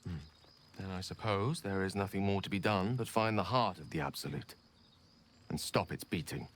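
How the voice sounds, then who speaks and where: A man's voice: sits low, moderate pace, fairly bright tone, slight background noise. A man acting a weary, eloquent wizard facing his death in a video game, speaking in a formal, reflective and articulate manner. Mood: grave, resolved.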